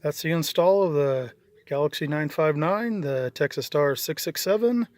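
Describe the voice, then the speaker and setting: A man's voice: fairly high pitch, moderate pace, a little mellow, dry recording. A middle-aged man giving a casual video walkthrough as a DIY installer, talking in a plain-spoken, matter-of-fact, folksy way. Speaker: DIY installer presenting own work. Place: in and around a parked vehicle.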